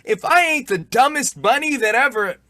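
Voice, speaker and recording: A man playing a blustering, excitable, foolish but affectionate husband in a folksy old-time radio comedy. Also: very high-pitched, normal speed, no room sound, clean studio sound, full character voice. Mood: dismayed. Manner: folksy exclamations, swooping emphasis.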